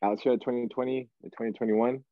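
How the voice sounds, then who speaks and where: A young man from Toronto, Canada, chatting casually as an interview guest, halting and repeating words as he thinks, over a video call. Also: medium pitch, steady tone, no echo. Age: young adult. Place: indoor room at home, video call. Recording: narrow, phone-like video call audio.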